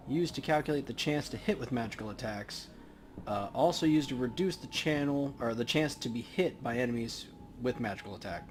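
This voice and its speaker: A man hosting a let's play, giving casual, laid-back gaming commentary as he thinks aloud. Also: medium pitch.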